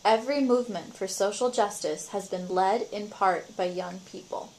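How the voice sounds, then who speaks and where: A woman giving clear, measured scripted narration for a nonprofit's promotional video. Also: mid-range voice, darkish tone, slight background noise, low-quality recording.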